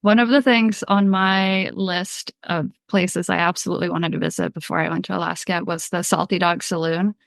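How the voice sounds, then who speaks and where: A woman from Sweden hosts a casual podcast interview. Her voice sits a little low, and she asks loose, chatty questions. The sound is dry and close. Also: moderate pace, vocal fry, hardly any pauses, no room sound. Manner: relaxed, chatty questions with filler "like". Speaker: podcast host.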